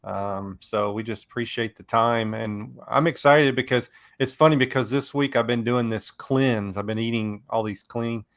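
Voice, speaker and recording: A man co-hosting a casual stream chat, chatty and jokey, and quick to back others up. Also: mid-range voice, fairly bright tone. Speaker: co-host.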